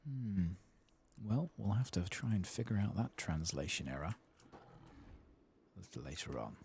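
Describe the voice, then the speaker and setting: A soft-spoken man hosting an ASMR game playthrough, hushed and unhurried, sometimes slipping into whispers. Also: deep voice, sing-song, gravelly, breathy edge. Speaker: ASMR gaming host.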